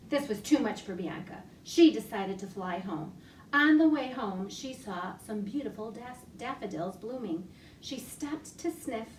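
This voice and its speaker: A woman librarian reading a picture story aloud to young children from her home, animated and full of gasps. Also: a little high, a little slow, far from the mic, a little hiss or hum. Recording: echoey room, voice off-mic.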